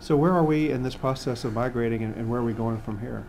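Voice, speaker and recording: A man's voice: mid-range voice, fast, darkish tone, a little hiss or hum. A man hosting a scripted corporate interview. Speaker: host.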